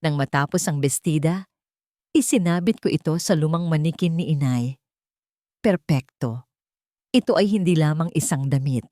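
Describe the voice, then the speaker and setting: A woman with a Filipino accent narrating a scripted first-person drama in measured, deliberate phrases. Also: low-pitched, a little mellow, dry recording, clean studio sound. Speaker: story narrator.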